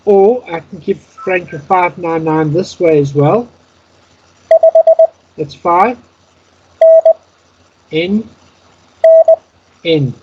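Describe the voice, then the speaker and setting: A man's voice: fairly high pitch, very slow, pauses often. Male instructor on a muffled, noisy internet call, methodical word-by-word delivery. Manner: instructional, announcing each item word by word. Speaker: Morse code practice instructor. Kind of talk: step-by-step spoken instruction. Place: Skype call. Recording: dull lo-fi internet call, clear noise.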